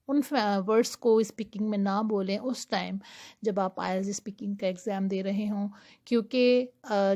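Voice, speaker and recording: A young woman teaching IELTS speaking tips, giving her English tips slowly and deliberately between quicker explanations. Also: medium pitch, warm tone. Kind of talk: explanatory lesson talk.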